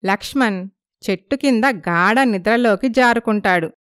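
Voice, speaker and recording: A woman's voice: medium pitch, normal speed, a bit bright, no echo, studio quality. A woman narrating a scripted moral story.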